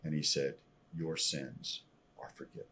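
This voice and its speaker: An older American man around sixty, telling his personal testimony as a podcast guest in an earnest, halting way over a video call from a room at home. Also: extremely low pitch, fairly even intonation, bright, forceful tone, slight background noise. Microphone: video call, computer microphone at desk distance.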